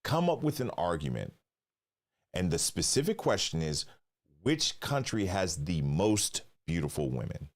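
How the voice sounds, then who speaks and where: A man's voice: deep voice, sing-song, a little slow. A man hosting a live stream, reacting with slangy, profane banter and reading tips aloud. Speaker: livestream host. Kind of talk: casual live-stream commentary.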